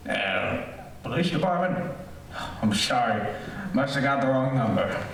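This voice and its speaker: Actor as gravelly, halting male intruder, echoey. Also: mid-range voice, expressive, recorded from a distance. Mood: falsely casual. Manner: halting, offhand. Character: sinister intruder feigning casual reassurance on the phone. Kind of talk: 1940s radio thriller acting on stage. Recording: echoey hall from afar, noisy.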